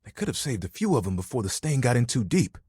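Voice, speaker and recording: A man playing an easygoing, proud and sentimental living towel in a video game, with a husky, expressive and earnest delivery. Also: medium pitch, quick pace, dry recording, studio quality. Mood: wistful. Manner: laid-back, expressive, earnest, playfully boastful. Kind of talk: video game character dialogue.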